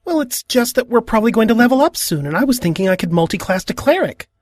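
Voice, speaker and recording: Woman playing an animated, cheeky young guy. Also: fairly high pitch, fast talker, dry recording. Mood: earnest, naive. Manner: animated, slangy, mock-grand. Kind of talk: animated comic fandub acting.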